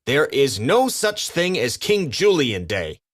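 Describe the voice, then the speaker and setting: A man's voice: medium pitch, big pitch swings, slow, dry recording, clean studio sound, voice pushed up. Guy doing a tough bossy cartoon penguin leader, blunt, punchy swings in tone. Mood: blunt, shouting. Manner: emphatic, blunt.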